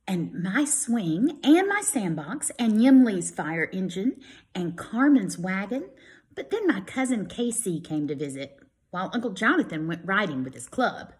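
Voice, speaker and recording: An American woman reads aloud as a kindergarten teacher to small children. Her pitch moves up and down a great deal, and she is expressive, pausing to explain things in simple words. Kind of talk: animated read-aloud to young children.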